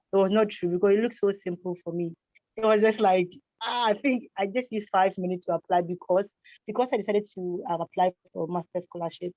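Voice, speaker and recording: A woman's voice: on the low side, warm tone, dry recording. A woman speaking as a panelist on an online call, giving direct advice and repeating her points for emphasis. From Ghana. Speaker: scholarship recipient panelist. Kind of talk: online webinar talk. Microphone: video call. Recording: dull, dry lo-fi call audio.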